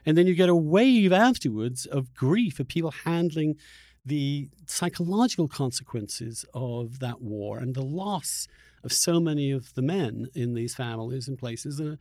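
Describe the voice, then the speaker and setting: A male interviewer speaks at an average pitch, working out his ideas as he talks. His phrasing is searching and halting, with frequent rewordings. The sound is dry, with no room around the voice. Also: lively intonation, darkish tone, no room sound. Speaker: podcast host interviewing a guest. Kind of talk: conversational interview, thinking aloud.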